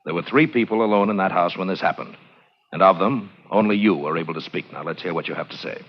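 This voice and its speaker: An actor playing a firm, authoritative police interrogator in an old radio drama, pressing for answers. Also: male voice, low-pitched, fast talker, breathy edge. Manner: insistent, authoritative. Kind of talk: 1940s radio acting. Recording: dull old radio recording.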